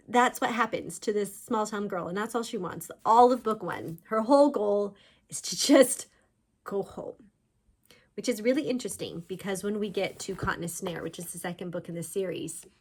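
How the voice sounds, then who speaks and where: Woman, mid-pitched voice, author, informal chatty book talk, animated storyteller. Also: moderate pace. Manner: animated storyteller, voicing characters' thoughts in quotes. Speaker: author discussing own books.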